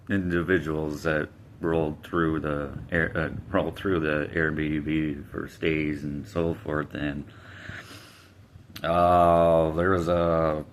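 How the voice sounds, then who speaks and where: Deep-voiced man telling a story slowly. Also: a bit bright. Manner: unhurried, hesitant with fillers and restarts. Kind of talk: casual personal storytelling to camera.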